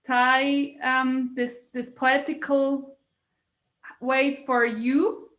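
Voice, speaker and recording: A woman hosts a casual podcast chat in English, which is not her first language, often stopping to search for a word. Her voice is high. The sound is roomy with echo. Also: flat delivery. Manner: warm, halting, searches for words. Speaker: podcast host.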